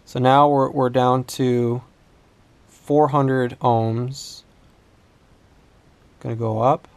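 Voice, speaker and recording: A man giving an unscripted hands-on demonstration as a repair instructor, thinking aloud in a halting way. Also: medium pitch, flat delivery, creaky, dry recording. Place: indoors at a workbench.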